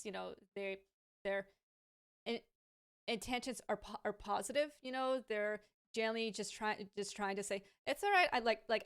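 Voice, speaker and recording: A woman with a smooth, clear voice talks casually to camera, answering viewer questions. She stammers often, repeating sounds and restarting words, and stays thoughtful and frank with light laughs. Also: medium pitch.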